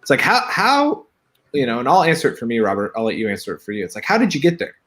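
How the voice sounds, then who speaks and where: A talkative man from Washington State, a guest on a podcast, teases his host and explains things at length. His voice sits at a middle pitch and moves a lot, rising and falling as he talks. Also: wildly swooping pitch, fast, a bit bright. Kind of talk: casual chat.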